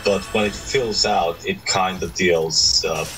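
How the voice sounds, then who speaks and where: A man's voice: deep voice, fairly even intonation, slow, a bit bright, soft background music, low-quality recording. A man chatting casually while playing a co-op game, explaining things in an easygoing way. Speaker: gamer in co-op. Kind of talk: casual gameplay chat.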